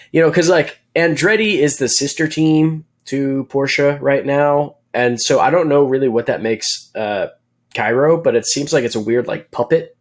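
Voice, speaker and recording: A man co-hosting a podcast, recapping racing in casual chat, rambling with many fillers and jokes. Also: medium pitch. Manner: rambling, filler-heavy, joking and laughing. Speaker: podcast co-host.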